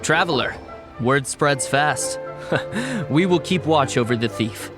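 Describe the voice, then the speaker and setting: A man voicing a dutiful guard soldier in a video game, measured, official and reassuring. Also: on the high side, animated. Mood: calm, assured. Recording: game music behind.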